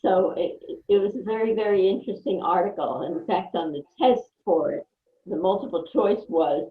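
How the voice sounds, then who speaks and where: A woman speaks casually and haltingly as a participant in a meeting. She sounds distant and echoey, with a thin phone-line tone and a slightly low pitch. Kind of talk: casual unscripted recounting.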